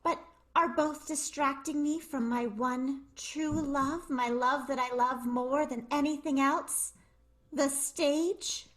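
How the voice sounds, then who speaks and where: A woman's voice: high-pitched, steady tone, slow, low-quality recording. A woman playing a flirtatious, lovelorn burlesque queen, dramatic and confessional, in an improvised radio play.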